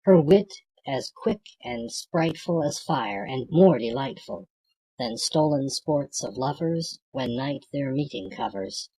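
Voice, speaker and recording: An audiobook reader reciting poetry from a script in a measured, declamatory way, with a husky, gravelly voice. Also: mid-range voice, animated, dark tone, low-quality recording.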